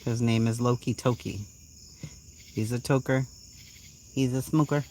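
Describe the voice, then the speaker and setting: A woman's voice: a bass voice, steady tone, a little slow, warm tone, slight background noise. Salty, laughing Boston woman. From Boston area, USA. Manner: blunt and salty, swears freely, laughs often. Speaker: channel host. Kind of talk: casual live-stream chat, reacting to viewer comments.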